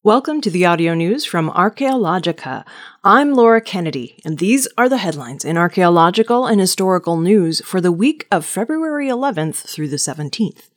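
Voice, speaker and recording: A woman reading scripted news stories as a narrator. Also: medium pitch. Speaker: news reader.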